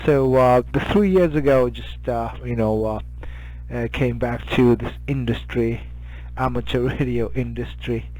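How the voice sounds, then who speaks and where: A middle-aged man with a Japanese accent chatting as an amateur radio operator, halting and often searching for words. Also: medium pitch, a little mellow, poor audio. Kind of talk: casual radio contact chat.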